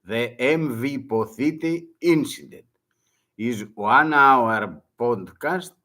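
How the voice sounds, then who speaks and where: An older man from Greece with a Greek accent, speaking halting, broken English as a second language and often repeating words in a monologue. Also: mid-range voice, pushed and bright. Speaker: retired merchant mariner.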